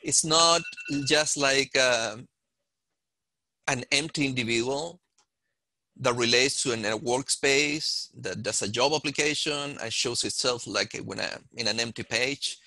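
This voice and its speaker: Thoughtful Chilean man, bright voice. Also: mid-range voice, measured pace, no room sound. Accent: Chilean Spanish. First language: Spanish. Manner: thoughtful, searching for words. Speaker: panelist. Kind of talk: panel discussion.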